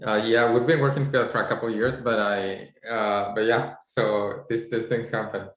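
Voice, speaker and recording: A man's voice: fairly low pitch, fairly even intonation, moderate pace, fairly bright tone. A man from Spain with a Spanish accent, introducing himself on a video call panel, hesitant and full of fillers. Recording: echoey room.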